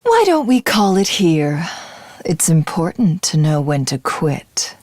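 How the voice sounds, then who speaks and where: Proud cold warrior queen type for a game, slow n formal, pitch swings a lot, super dry studio sound. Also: very animated, a little mellow, dry recording, full character voice. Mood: solemn, measured. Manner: imperious, measured, formal, commanding. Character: proud, cold alien warrior woman, supreme commander. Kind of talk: video game character voice acting.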